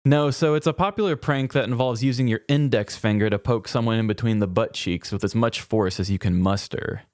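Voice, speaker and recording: A podcast co-host sharing a strange fact in casual chat, calm and matter-of-fact, with frequent "like" fillers. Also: medium pitch, a little fast, dry recording, studio quality.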